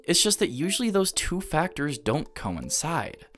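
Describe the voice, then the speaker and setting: Lively-pitched man narrating a video essay. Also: unhurried. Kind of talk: scripted analytical narration about anime. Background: background music.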